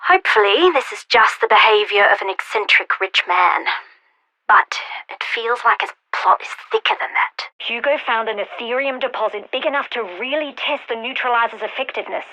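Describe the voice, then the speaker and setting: An actress playing a brilliant, wry and determined scientist in a video game, speaking articulately in scripted lines and journals. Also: female voice, on the high side, normal speed, sharp tone, dry recording, rough recording. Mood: troubled, confiding. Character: brilliant wry female scientist, guilt-ridden, urgent and determined. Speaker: scientist character.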